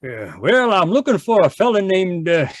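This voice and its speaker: A man playing a small, addled, excitable pilgrim in an old-time radio comedy mystery. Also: sits high, normal speed, dry recording. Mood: addled, chuckling. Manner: exclaiming, chuckling, stammering.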